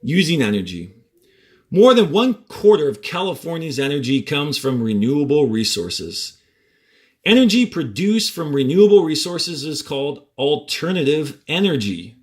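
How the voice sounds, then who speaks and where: A man who teaches fourth grade, reading a textbook aloud with deliberate emphasis on key words and short instructional asides. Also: fairly high pitch, sing-song, a little mellow, breathy edge.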